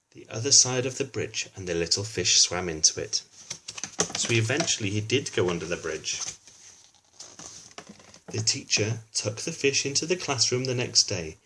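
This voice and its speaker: A man with a mid-pitched voice reads a storybook to young children. His delivery is expressive and informal, with little explanatory asides to the listener along the way. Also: husky. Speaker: children's book reader.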